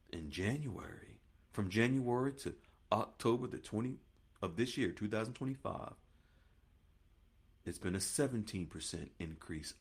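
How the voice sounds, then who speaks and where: A man's voice: on the low side, a little mellow, husky. A man hosting a finance podcast, talking straight to listeners in an emphatic, preachy monologue that keeps repeating points and calling them "folks.".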